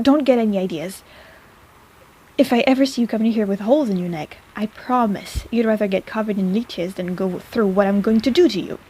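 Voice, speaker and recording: A woman's voice: medium pitch, mellow, slight background noise, natural voice, poor audio. A woman playing a sarcastic but caring apothecary in an intimate personal-attention audio roleplay, with a playful, chiding manner. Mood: exasperated, scolding.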